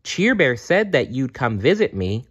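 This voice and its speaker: A man's voice: medium pitch, sing-song, a little slow, a bit bright, slightly rough, no echo, voice pushed up, heavily acted. A man voicing a timid little girl from a storybook, hesitant and childlike, on a dull recording. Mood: excited. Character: timid, worried little girl facing hospital.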